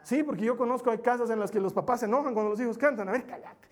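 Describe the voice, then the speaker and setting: A man who is a pastor, preaching a sermon in church. He repeats key phrases and swings from loud, emphatic peaks to soft, low passages. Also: rapid-fire. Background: music playing under the sermon.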